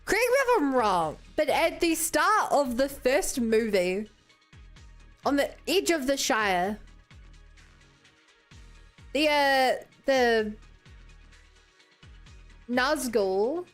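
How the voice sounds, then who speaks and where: A woman's voice: high-pitched, big pitch swings, drawn-out words, long pauses, soft background music. A woman with a New Zealand accent chatting casually as she streams a game. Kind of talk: casual livestream chat over a game.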